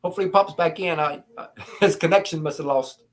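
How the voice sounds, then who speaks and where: A man with a moderately high voice hosts a casual chat show alongside a partner. He speaks conversationally and puts in brief, encouraging responses as he goes. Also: fast talker. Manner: encouraging, short affirming interjections. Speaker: talk show co-host.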